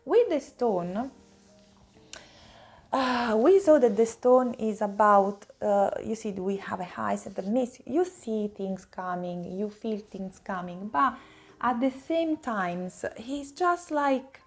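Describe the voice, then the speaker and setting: High-voiced Italian woman reading tarot. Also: expressive, soft background music. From Italy. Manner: halting word searching, repeats phrases for emphasis. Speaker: tarot reader, host. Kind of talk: improvised tarot card reading addressed to viewers.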